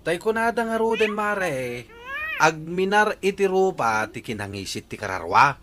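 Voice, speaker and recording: A man's voice: sits high, sing-song, unhurried, background noise. Weary tricycle-driver husband, acted by a man. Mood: protesting. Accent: Ilocano. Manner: weary, protesting. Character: weary tricycle-driver father, put-upon, grumbling husband. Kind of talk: Ilocano radio comedy-drama acting.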